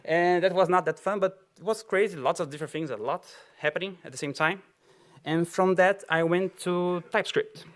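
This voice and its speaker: A man with a Brazilian Portuguese accent, his voice a touch high, talks to an auditorium crowd. He rambles in a loose, chatty way, full of fillers and little laughs. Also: moderate pace. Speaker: conference speaker. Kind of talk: tech conference talk.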